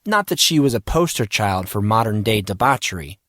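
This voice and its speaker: A man narrating scripted fiction in a measured, expressive storytelling manner. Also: on the low side, dry recording, clean studio sound. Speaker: audiobook narrator.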